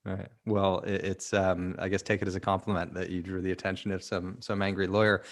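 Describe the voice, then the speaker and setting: A man hosts a fireside chat over a video call. His voice sits a bit low, and he keeps things friendly, prompting his guest with questions and easy fillers. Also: level tone, brisk. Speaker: host, interviewer.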